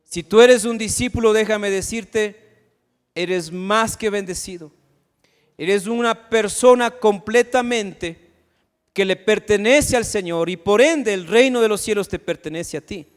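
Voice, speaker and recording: A man's voice: high voice, a little slow, smooth. A man from Ecuador, preaching a sermon as pastor and firing rhetorical questions at the congregation. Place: church sanctuary. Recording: long hall reverb.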